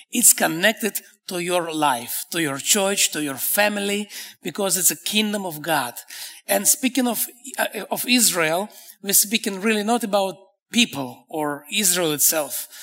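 Gravelly-voiced excited visiting pastor, man. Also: high-pitched. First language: not English. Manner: excited, joking, fast with restarts. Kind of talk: sermon.

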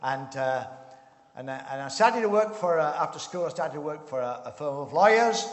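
Brief: older Yorkshire man, joking guest speaker, slightly high voice, reverberant church hall. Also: animated, normal speed. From Yorkshire, England. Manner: jovial, joking. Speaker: guest missionary. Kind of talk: casual talk at a church service.

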